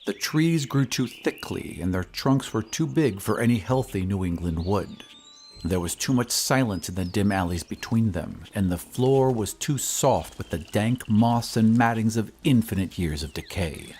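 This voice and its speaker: A man playing a somber surveyor who recounts an eerie old tale in measured, ominous audio drama narration with hushed phrase endings. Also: on the low side, lively intonation, a little hiss or hum, natural voice. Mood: uneasy, hushed.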